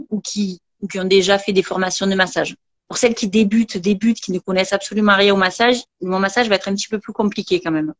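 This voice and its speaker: Southern French woman, halting chatty. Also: on the low side, dry recording. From southern France. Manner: hesitant, many fillers and restarts. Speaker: massage trainer and beautician. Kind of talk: casual interview chat.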